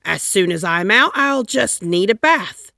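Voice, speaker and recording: A woman's voice: medium pitch, expressive, unhurried, gravelly, sharp tone, dry recording, studio quality, voice pushed up, heavily acted. A woman voicing a huge, blustering, exasperated male president from a children's storybook, emphatic and bellowing.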